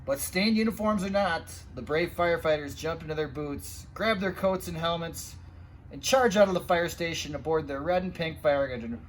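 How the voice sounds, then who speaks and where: A man reading a children's picture book aloud in an animated, expressive way, with asides to young listeners. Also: a little high, moderate pace, a little hiss or hum, poor audio. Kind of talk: animated storytime read-aloud.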